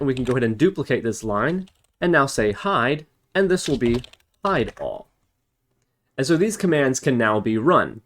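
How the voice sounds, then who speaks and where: A man narrating an informal screen-recorded coding tutorial as the instructor, explaining each step and thinking aloud as he works. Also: medium pitch.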